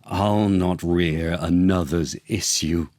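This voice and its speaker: A man acts out a jealous tyrant king from a storybook. He speaks slowly and commandingly, drawing words out with theatrical scorn. The sound is close and dry. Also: low-pitched, fairly even intonation, darkish tone, no room sound. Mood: furious contempt.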